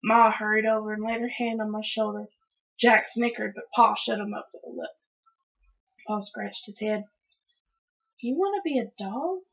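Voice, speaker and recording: A woman's voice: medium pitch, monotone, moderate pace, a bit bright, dull audio. A woman reading a children's story aloud, narrating expressively in the first person. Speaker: storybook reader.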